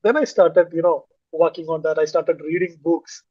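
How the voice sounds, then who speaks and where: A high-voiced man from Kerala speaks English with a Malayali accent, pausing often on fillers and restarting his sentences. The sound is dry and dull, like muffled video call audio. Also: steady tone, darkish tone, dry recording. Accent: Indian English, Malayali. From Kerala, India. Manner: hesitant, many fillers and restarts. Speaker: English learner, finance manager. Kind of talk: casual conversation on a video call.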